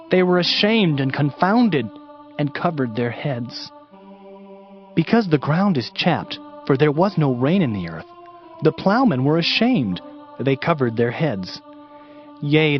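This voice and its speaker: An actor playing a grave, lamenting Hebrew prophet in a solemn, declamatory dramatized Bible reading. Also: male voice, on the high side. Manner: solemn, declamatory, archaic phrasing. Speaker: dramatized scripture reader. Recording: dull audiobook sound with music underneath.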